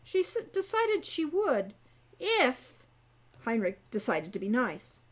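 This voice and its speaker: A woman reading her own young adult fantasy novel aloud as expressive storybook narration. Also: sits high, slow pace.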